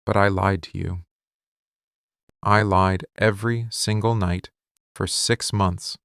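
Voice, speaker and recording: A man playing a possessive, brooding mafia boss lover in a scripted boyfriend ASMR roleplay, menacing and commanding, with deliberate dramatic pauses. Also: low-pitched, a bit bright, no room sound, studio quality, exaggerated voice.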